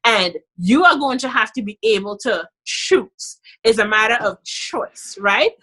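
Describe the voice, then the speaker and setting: A woman's voice: on the high side, animated, measured pace, sharp tone, rough recording. A woman with a Trinidadian accent, a podcast guest talking in a casual, emphatic way, often adding "you know". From Trinidad and Tobago. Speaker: podcast guest, dentist and author. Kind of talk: casual interview chat.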